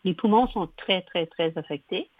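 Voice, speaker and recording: A woman in her sixties, a native English speaker from Ottawa, speaking French as a guest with a slow, halting manner and frequent throat clearing. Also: on the low side, dry recording. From Ottawa, Canada. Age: 60s. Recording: tinny phone line.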